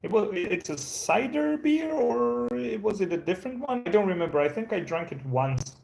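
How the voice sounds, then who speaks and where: A man's voice: high-pitched, animated, moderate pace, vocal fry, darkish tone, low-quality recording. A Croatian man, a native Croatian speaker with an American-sounding English accent, chatting casually with viewers on his live stream in a rambling, laid-back way, with many fillers and restarts. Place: indoors at a home.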